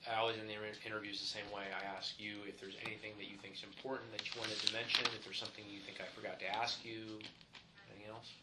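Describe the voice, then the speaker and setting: A man leading a formal interview, asking procedural questions in a measured way. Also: fairly low pitch, little pitch movement. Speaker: investigator conducting the interview. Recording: echoey noisy room.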